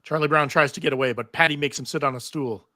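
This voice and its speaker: Bright-voiced man narrating scenes. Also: on the high side, steady tone, fast talker, no room sound. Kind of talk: reading stage directions at live table read.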